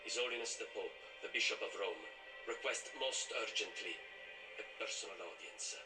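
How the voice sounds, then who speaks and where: A man's voice: on the low side, moderate pace, lightly acted. Echoey, solemn church envoy played by a man. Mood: solemn, urgent. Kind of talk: television drama acting. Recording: distant echoey TV playback under loud music.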